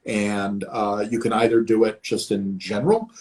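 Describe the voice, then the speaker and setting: A man's voice: on the low side, steady tone, normal speed, a little mellow, low-quality recording. A man hosting a casual chat, rambling and full of "uh" fillers. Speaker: host, series creator.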